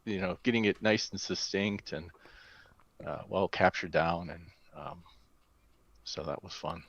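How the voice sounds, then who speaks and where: A man's voice: deep voice, measured pace, vocal fry, a bit bright, no echo. A man chatting casually as a guest about his part in a reading. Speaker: guest, amateur cast reader. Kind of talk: casual chat. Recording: dry, slightly dull lo-fi sound.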